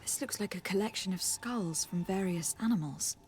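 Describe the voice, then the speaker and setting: A woman playing a courteous, inquisitive noblewoman in a fantasy video game, formal and thoughtful, with a husky voice. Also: medium pitch, moderate pace, darkish tone, soft background music, lightly acted. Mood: thoughtful, composed. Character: courteous, inquisitive young noblewoman envoy and adventurer. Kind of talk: video game dialogue acting.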